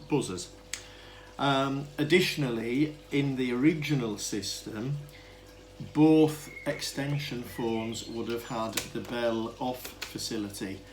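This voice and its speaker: A man gives an informal, unscripted demonstration, explaining things in a hesitant, rambling way and often interrupting himself. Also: medium pitch. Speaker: hobbyist demonstrator of vintage telephone equipment. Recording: clearly noisy amateur camera recording.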